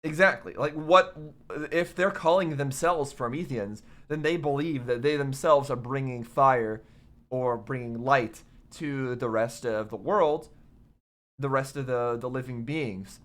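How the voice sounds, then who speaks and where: A man doing casual, rambling reaction commentary on a game, halting and puzzled as he thinks aloud, his voice a little off as he recovers from illness. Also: mid-range voice, a bit bright. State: recovering from covid, voice slightly affected. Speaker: host of a video game reaction show.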